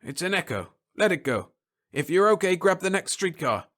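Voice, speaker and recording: Guy voicing a gruff cussing spaceship captain, yells orders, high and lively. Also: normal speed. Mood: barking orders. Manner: barking, swearing orders. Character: gruff, cursing adult male spaceship captain. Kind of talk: dramatic story reading.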